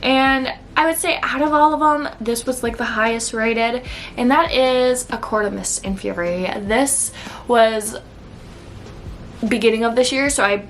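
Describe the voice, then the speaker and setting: A woman's voice: a little high, measured pace, a bit bright, slight background noise. A woman talking in an informal, conversational way, like a book vlogger chatting casually to viewers. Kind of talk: casual chat to camera, book review.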